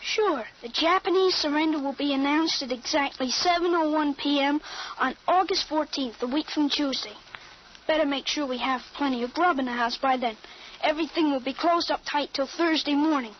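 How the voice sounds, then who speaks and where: A woman's voice: high-pitched, moderate pace, lightly acted. A woman playing a precise thirteen-year-old American boy with a grown-up mind in an old radio drama, speaking in an articulate, matter-of-fact way. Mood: certain, brisk. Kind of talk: 1940s-50s radio drama acting. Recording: muffled, noisy old radio recording.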